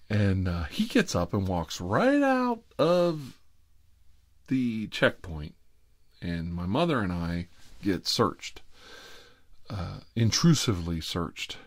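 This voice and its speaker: A man's voice: low voice, dry recording. A middle-aged man telling personal stories informally, in a rambling, wry and sardonic confessional way.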